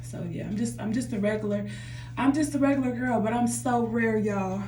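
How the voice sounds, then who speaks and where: A woman with a mellow, dark-toned voice speaks earnestly to her viewers, looping back over her words and calling them "y'all." She records on a phone in a hard-walled bathroom that rings with echo. A faint hum sits underneath her. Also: fairly high pitch, normal speed. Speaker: vlogger. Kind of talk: reflective personal vlog. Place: home bathroom by a running jacuzzi.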